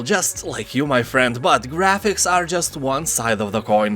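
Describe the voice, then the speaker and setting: A man's voice: medium pitch, fairly bright tone. A man narrating a scripted game roundup as host, dropping wry joking asides.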